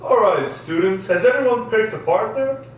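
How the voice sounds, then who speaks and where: A man's voice: sits high, expressive, moderate pace, slight background noise. A man reading the part of a strict science teacher at a script table read, in an echoey room with a distant mic. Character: strict male science teacher addressing his class.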